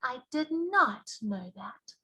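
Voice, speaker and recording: A woman voicing a polite, timid, questioning young girl in a children's storybook, speaking softly and hesitantly. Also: high-pitched, sing-song, slow, exaggerated voice. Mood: curious. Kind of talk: children's storybook read-aloud.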